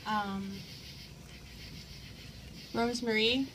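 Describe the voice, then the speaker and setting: A woman's voice: a little high, fairly even intonation, very slow. A woman hosting a memorial gathering, introducing each speaker with brief, friendly prompts. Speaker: memorial host. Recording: noisy room.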